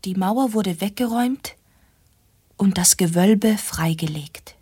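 A sombre noblewoman narrates her haunted past in a radio drama. Her delivery is very slow, with a breathy, husky voice. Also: medium pitch, dark tone. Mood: sombre, detached.

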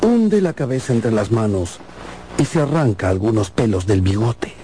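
A man's voice: lowish voice, big pitch swings, moderate pace, slight background noise. A man giving dramatic scripted narration for a radio drama. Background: loud music.

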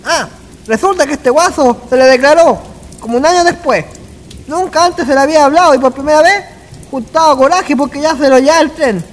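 A man's voice: extremely high pitch, a little fast. An actor playing a folksy rustic man with a rural Chilean accent, telling anecdotes in a radio drama. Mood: storytelling. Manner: folksy, colloquial. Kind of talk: radio drama acting, colloquial storytelling. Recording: long reverb, faint music behind.

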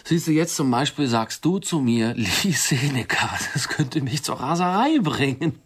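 A man's voice: medium pitch, lively intonation, measured pace, slightly rough. An actor playing a touchy, nervous, sardonic middle-aged man in a German radio drama, wry and hesitant, often repeating himself. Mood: exasperated.

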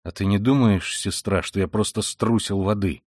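A man reading an audiobook voices a tormented, proud and feverish young man who speaks quietly and wearily and flares into shouting rage. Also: on the low side, moderate pace, dry recording, studio quality, lightly acted. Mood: weary, bitter. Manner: quiet and weary, then bursts into shouting rage. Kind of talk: audiobook reading of a classic novel.

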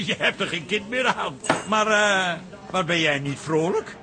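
An actor in a radio play playing a jumpy, sarcastic, grumbling husband who speaks in an excitable, wry way. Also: male voice, high-pitched, unhurried. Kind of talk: radio drama acting.

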